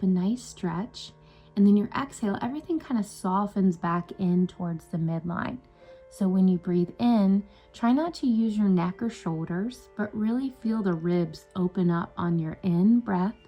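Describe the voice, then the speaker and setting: A woman meditation coach leading a guided breathing session in a soothing, unhurried, instructional way. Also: on the low side, dark tone. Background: meditation music.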